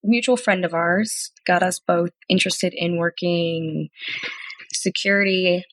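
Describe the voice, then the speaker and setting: Woman, thirties, lowish voice, chatty storyteller, guest on an interview podcast. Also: fairly even intonation, measured pace, no echo. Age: 30s. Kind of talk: casual interview conversation.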